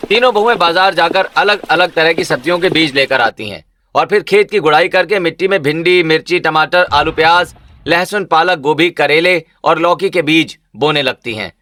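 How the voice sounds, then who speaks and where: Bright, forceful male story narrator. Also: on the high side, normal speed. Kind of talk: scripted Hindi cartoon story narration.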